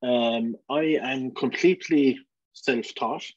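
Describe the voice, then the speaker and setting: A man's voice: medium pitch, slow, no room sound. Irish male guest speaker, easygoing with ums, dry, slightly dull audio. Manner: relaxed, frequent ums. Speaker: guest speaker, landscape photographer. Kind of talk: online lecture.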